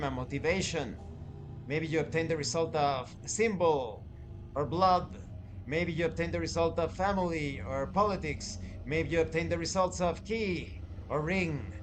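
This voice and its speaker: Male game reviewer, slightly high voice, deliberate emphatic phrasing, light music bed underneath. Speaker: tabletop game reviewer and channel host. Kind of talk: review commentary to viewers.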